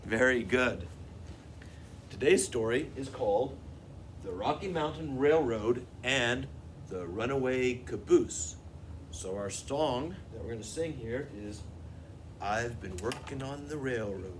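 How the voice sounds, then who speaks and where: A man's voice: mid-range voice, animated, slow pace, slight background noise. An American man, a librarian hosting a children's storytime, who talks to young listeners and puts questions to them. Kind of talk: children's storytime with songs and read-aloud.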